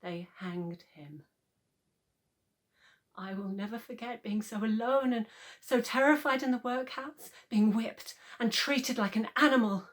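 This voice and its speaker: A woman's voice: fairly high pitch, a little slow, close to an everyday voice. A woman playing a devoted young nursemaid with a troubled past in a radio play, earnest and emotional, faltering as she speaks. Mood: haunted.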